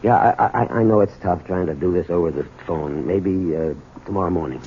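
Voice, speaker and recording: An actor playing a troubled, desperate husband in a 1970s radio drama, halting and emotional. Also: male voice, deep voice, phone-quality sound. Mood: anxious, pleading. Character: troubled, desperate husband and small business owner, bitter toward his father. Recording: thin, noisy old radio broadcast.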